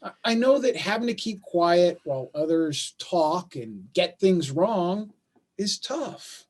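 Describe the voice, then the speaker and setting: A man acting in a play reading as a baffled, conciliatory father, speaking in a halting, earnest, self-deprecating way. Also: high-pitched, big pitch swings, darkish tone, heavily acted. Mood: confiding. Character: baffled, conciliatory working-class father of a gifted son. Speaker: stage actor in a play reading. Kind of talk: staged play reading, naturalistic dialogue. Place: remote video call.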